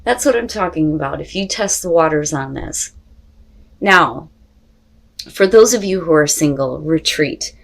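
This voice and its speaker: A woman hosting an unscripted tarot reading, talking straight to viewers in an expressive, conversational way with rhetorical questions and salty language. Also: low-pitched, unhurried, gravelly. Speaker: tarot reader and host.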